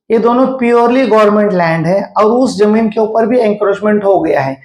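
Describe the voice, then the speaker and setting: A man's voice: sits high, darkish tone. A man with a Mumbai Hindi accent explains a civic matter in an interview, emphatically and step by step. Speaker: interviewee commenting on local civic affairs. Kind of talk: spoken news interview, explanatory commentary. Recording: echoey room sound.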